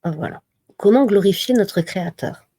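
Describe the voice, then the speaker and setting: A woman giving a slow, measured devotional reading and reminder, pausing often between phrases. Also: medium pitch, expressive, dark tone, breathy edge, no room sound. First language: not Arabic. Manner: slow, measured recitation with pauses. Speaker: religious reminder speaker.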